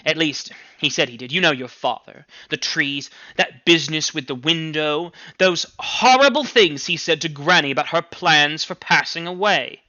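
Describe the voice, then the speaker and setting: A man's voice: on the high side, normal speed, few pauses, bright, forceful tone, dry recording, lo-fi sound. A man voicing an anxious, overwrought middle-aged mother in an audiobook story, fretful and emphatic as she scolds. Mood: fretful, gossipy.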